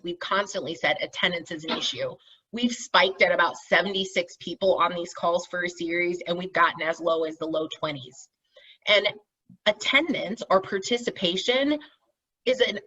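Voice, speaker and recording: A woman's voice: on the low side, pushed and bright, husky. A middle-aged woman facilitating a group call, explaining things informally and encouragingly and often checking in with listeners. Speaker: meeting facilitator, team lead. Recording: dull, distant video-call audio.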